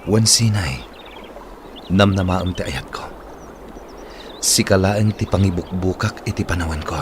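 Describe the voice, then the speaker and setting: A man's voice: deep voice, a little slow, background noise. A man playing a proud, ardent suitor in an Ilocano-accented radio drama. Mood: tender, ardent. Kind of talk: Philippine radio drama acting. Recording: music playing behind the voice. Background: music.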